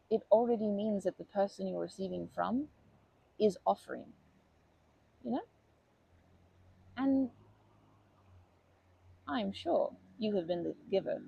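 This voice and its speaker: Woman playing a husky, creaky old grandma. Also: mid-range voice, heavily acted, low-quality recording. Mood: gentle, reflective. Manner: gentle, rambling, halting, doting endearments. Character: kindly, chatty, nurturing old grandma lady. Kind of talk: ASMR comfort roleplay.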